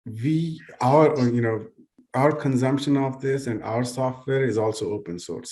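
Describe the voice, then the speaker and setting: A man's voice: on the low side, a little mellow. A man on a discussion panel adding brief, informal remarks.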